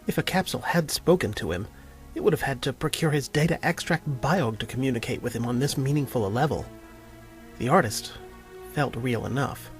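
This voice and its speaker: Male narrator, medium pitch, scripted storytelling, audio drama, music behind the voice. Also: a little fast.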